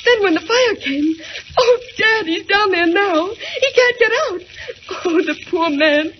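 An actress playing an impulsive, anxious young daughter in melodramatic 1940s radio style. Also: female voice, very high-pitched, lively intonation, measured pace. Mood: distraught, pleading. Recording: dull, hissy 1940s radio recording.

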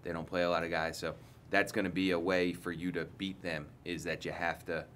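A man's voice: low-pitched, level tone, brisk, fairly bright tone, a little hiss or hum. A man in his forties, a head coach, giving candid, rambling post-game answers full of restarts, seated at a table mic in an indoor press room.

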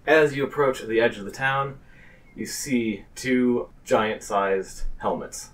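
Guy running a tabletop rpg, slow halting talk, bit far from the mic. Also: mid-range voice, a bit bright. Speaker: game master. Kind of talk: narrating a tabletop game.

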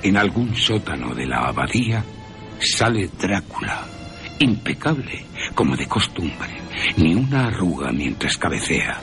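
A man's voice: low-pitched, sing-song, a little slow. A man from Spain with a Castilian accent, narrating scripted horror drama as a radio presenter, with music underneath. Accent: Castilian Spanish.

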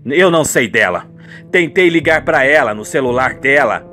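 A man's voice: mid-range voice, lively intonation, normal speed, sharp tone, pitched below the performer's own voice. A self-serving male lawyer in a radio soap, acted by a male narrator. He speaks tersely and matter-of-factly over background music. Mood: curt, resigned.

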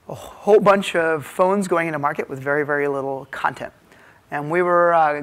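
Higher-voiced American man presenting on stage. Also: fairly bright tone. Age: 30s. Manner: halting, filler-heavy, informal delivery. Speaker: keynote speaker, tech executive. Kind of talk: informal conference presentation. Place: hotel conference ballroom stage, indoors. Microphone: lapel mic.

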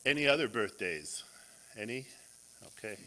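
A man's voice: medium pitch, expressive, moderate pace, sharp tone, poor audio. An older man, a pastor, chatting casually and teasingly with his congregation in a small church. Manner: playful, teasing. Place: small church sanctuary, at pulpit.

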